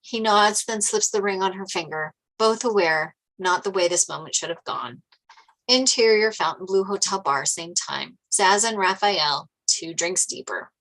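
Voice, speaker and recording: A woman's voice: medium pitch, moderate pace, fairly bright tone, rough recording. A woman narrating stage directions from a screenplay at a table read.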